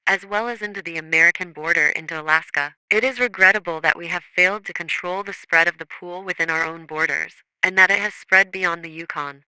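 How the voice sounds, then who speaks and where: A grave official emergency announcer, played by a man, with a high, very bright and forceful voice. He reads a scripted broadcast alert in a formal, measured way. The delivery is crisp and authoritative. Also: no echo, low-quality recording.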